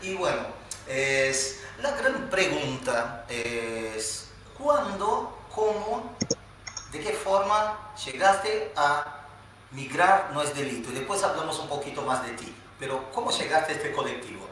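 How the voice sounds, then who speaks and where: A man's voice: a little high, lively intonation, slow, a bit bright, a little hiss or hum. A man from Spain hosting a live interview, asking long, rambling questions. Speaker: host. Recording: echoey room, far from mic.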